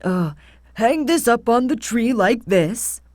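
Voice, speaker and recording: A woman voicing a scheming, excitable husband who talks to himself in a children's storybook. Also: a little high, takes it slowly, no room sound, clean studio sound. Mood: pleased. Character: worried, scheming husband who finds gold.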